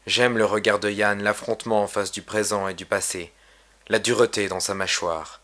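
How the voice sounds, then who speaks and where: A man reading his own poem aloud in a solemn, measured, literary recitation. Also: lowish voice, steady tone, a bit bright. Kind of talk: poetry recitation.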